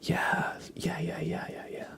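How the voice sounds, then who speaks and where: Male ASMR host, close-miked breathy whisper, leisurely tempo, hushed and casual with frequent gaps. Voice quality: breathy whisper with creaky voiced patches. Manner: unhurried, hushed, pausing often. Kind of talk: whispered ASMR, casual tarot card reading and chat with viewers.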